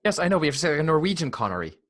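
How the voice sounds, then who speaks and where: A man's voice: a little high, very animated, rapid-fire, fairly bright tone. A man co-hosting a podcast with casual, joking banter and interjections. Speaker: podcast co-host. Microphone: headset or headphones setup.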